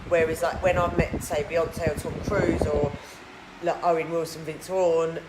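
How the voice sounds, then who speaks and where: A tired woman with a husky voice, chatting casually on a live stream and answering viewer comments. Her throat is huskier than usual, and she rambles, filling her talk with "like". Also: sits low, little pitch movement, measured pace. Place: indoors in a hot treatment clinic room. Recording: echoey room with loud background noise.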